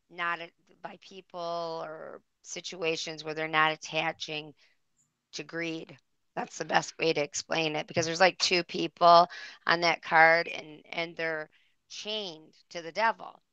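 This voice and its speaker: A woman with a hoarse voice giving a tarot reading on air, rambling and searching for words. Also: deep voice, bright, forceful tone, no room sound, low-quality recording. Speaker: psychic reader.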